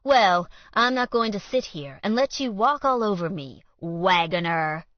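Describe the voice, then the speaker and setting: A woman voicing a smug, spiteful man who needles his rival in loud, quick taunts. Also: lowish voice, lively intonation, no room sound, natural voice. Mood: sneering. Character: sneering jealous male councilman, smug and spiteful. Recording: dull lo-fi dry read.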